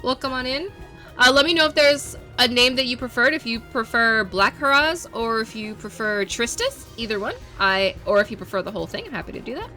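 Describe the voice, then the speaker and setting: A playful woman streamer who chats with her viewers and laughs at her own mistakes. Her voice is bright and crisp, sitting mid-range. Faint music plays underneath her talk. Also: a little high, expressive, brisk. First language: English. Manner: playful, chatty, self-deprecating. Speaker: variety streamer. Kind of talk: casual live-stream chat.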